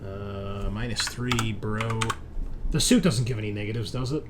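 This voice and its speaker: A man's voice: on the low side, moderate pace. Casual male game master, faint background noise. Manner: casual, conversational. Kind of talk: running a tabletop roleplaying game.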